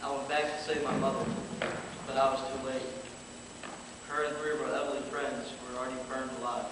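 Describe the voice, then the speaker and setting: Young man as a flat, sombre survivor, noisy. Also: mid-range voice, fairly quick, natural voice. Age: young adult. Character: sombre male bombing survivor who lost his mother. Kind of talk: scripted stage monologue. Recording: echoey hall from far off, loud noise.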